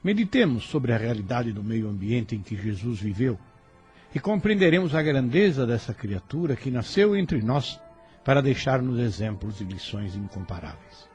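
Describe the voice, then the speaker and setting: A man presenting a scripted devotional reflection in a measured narrator's style. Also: medium pitch, sing-song, a little mellow, muffled recording. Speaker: presenter giving a closing reflection. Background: faint background music.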